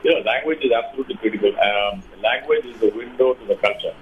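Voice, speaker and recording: A man's voice: medium pitch, fast talker. A man whose first language is Urdu, speaking earnestly and emphatically as a radio interview guest over the phone. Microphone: phone line. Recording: tinny phone line with faint noise.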